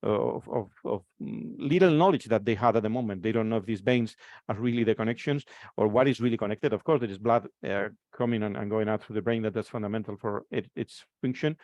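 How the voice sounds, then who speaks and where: A man's voice: fairly low pitch, quick pace, a little mellow, dry recording, muffled recording. A man from Spain in his forties with a Spanish accent, giving a conference presentation and often repeating words as he restarts his phrases.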